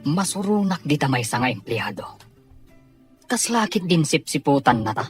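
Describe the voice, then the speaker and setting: A man's voice: fairly high pitch, very animated, moderate pace, soft background music. A man playing a suspicious, gossiping office worker in an Ilocano radio drama, with a Filipino accent. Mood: irritated, scheming.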